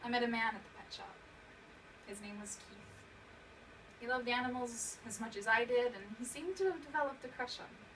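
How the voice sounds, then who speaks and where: A woman acting a haunted young survivor of abuse in a dramatic stage monologue, halting and emotional, repeating words for emphasis. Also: fairly high pitch, close to an everyday voice. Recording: distant mic, clearly noisy room recording.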